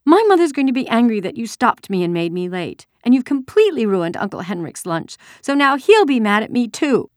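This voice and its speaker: A woman's voice: a little high, sing-song, normal speed, no room sound, clean studio sound, pitched above the performer's own voice. A woman voicing a chattering, bold, easily exasperated young girl in an audiobook story. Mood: choked, upset. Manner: silly chatter, pert and indignant. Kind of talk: audiobook character dialogue.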